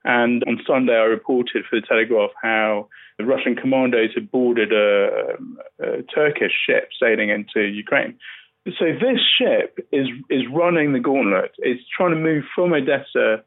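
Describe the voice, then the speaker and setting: Male reporter, mid-pitched, explaining news on a thin phone-like connection. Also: normal speed. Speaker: newspaper journalist. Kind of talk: spoken news analysis on a podcast. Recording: dull, band-limited remote line.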